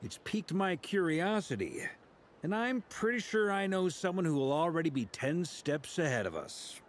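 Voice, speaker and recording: An actor playing a youthful, curious and eager adventurer in a video game, casual and expressive. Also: male voice, on the high side, moderate pace.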